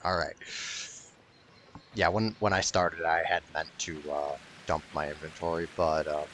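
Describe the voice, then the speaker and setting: Deep-voiced, rambling male gamer. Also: level tone, measured pace, a bit bright, a little hiss or hum. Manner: rambling, profane, wry. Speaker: gaming streamer. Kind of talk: casual gameplay commentary.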